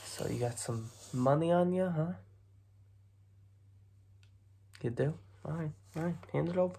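A young man voicing a gruff, dismissive, streetwise mob boss in a soft-spoken ASMR roleplay, breathy and clipped. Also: fairly high pitch. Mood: suspicious, demanding. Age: young adult. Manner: slow, clipped tough-guy talk with repeated phrases. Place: small dim room at home, indoors.

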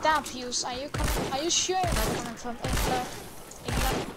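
A woman's voice: high voice, moderate pace. A woman from Denmark chatting with teammates over in-game voice chat, asking blunt questions in slightly non-native phrasing. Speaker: online teammate. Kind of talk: casual voice chat. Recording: noisy voice-chat audio.

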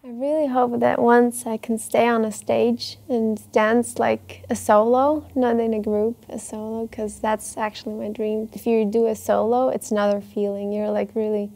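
Eager teenage girl, high and flat. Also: normal speed, vocal fry, few pauses. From Switzerland. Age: teens. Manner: casual, eager, with many likes. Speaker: ballet student. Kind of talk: documentary interview.

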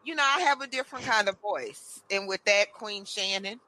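Lady hosting a radio chat, bright punchy voice, playful, dry close mic. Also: medium pitch, moderate pace, dry recording, low-quality recording. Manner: chatty, playful, reads out listener comments. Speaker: host. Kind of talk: casual radio talk.

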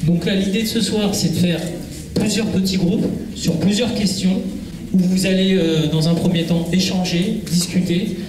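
A man's voice: high voice, steady tone, normal speed, far from the mic. Hesitant man in echoey noisy hall. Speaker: local party organizer. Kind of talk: introducing a public meeting. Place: large municipal meeting hall.

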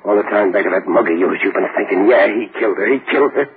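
An actor playing a desperate accused husband bent on revenge, intense and urgent with rough street talk, in 1940s radio drama style. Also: male voice, on the low side, fast talker. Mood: bitter, insistent. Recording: tinny, noisy 1940s radio recording.

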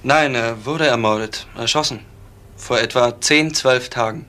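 A man's voice: medium pitch, a little slow, pushed and bright. A man playing a matter-of-fact police investigator in a crime radio play, speaking in clipped phrases.

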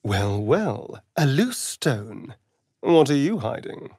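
Sly vampire rogue, British accent, vain and catty, melodramatic swooping delivery, unhurried drawl, dry studio sound, fantasy game. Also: male voice, medium pitch, sing-song, darkish tone, breathy edge, dry recording, exaggerated voice. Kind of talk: dramatic video game acting.

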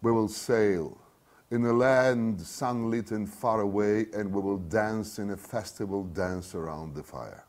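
A man in his fifties reads from a novel in a fairly low voice. His pace is very slow and measured, with deliberate pauses. The delivery is dramatic and declamatory, like an author performing literary prose. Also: darkish tone. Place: small bare studio room, seated at a desk.

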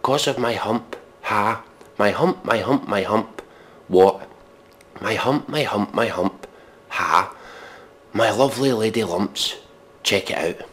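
A man giving a mock dramatic reading in deadpan, with exasperated, scornful, sweary commentary. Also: lowish voice, slight background noise. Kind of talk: deadpan recitation of pop lyrics with sweary commentary.

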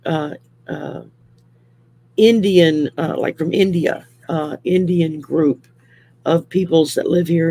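A woman in her early sixties from Louisiana talks over a video call from her home. Her voice is gravelly and she rambles through fillers and restarts. The sound is dry and close. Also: lowish voice, mellow, no echo. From Louisiana, USA. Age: 60s. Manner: rambling, many fillers, laughs often. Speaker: guest. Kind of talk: casual chat. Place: home room.

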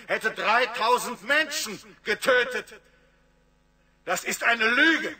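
High, forceful rallying leader. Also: male voice, recorded from a distance, full character voice. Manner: declamatory, emphatic. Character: defiant young engineer leader rallying striking workers. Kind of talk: impassioned oratory in radio play.

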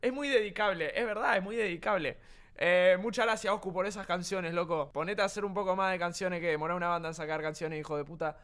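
A man's voice: sits high, fast talker, fairly bright tone, clean tone. A young man from Argentina with an Argentine accent, streaming from his room and joking around, brash and swearing a lot. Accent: Argentine Spanish. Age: young adult. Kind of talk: casual livestream chat. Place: home streaming room with green screen.

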